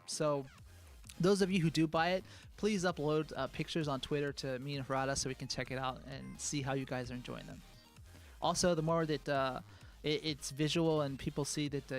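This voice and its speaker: A man giving a live product presentation as a game producer, speaking in a relaxed way full of "uh"s. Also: creaky. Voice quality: calls his own voice high. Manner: relaxed, filler-heavy speech with many "uh"s.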